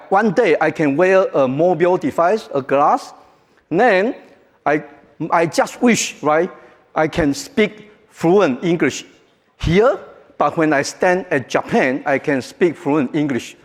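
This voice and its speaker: Taiwanese-accented guy giving a keynote, kinda high voice, jokey, big echoey hall. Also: animated. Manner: joking, halting, non-native phrasing. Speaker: keynote speaker. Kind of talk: conference keynote talk. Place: large conference hall. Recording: reverberant hall.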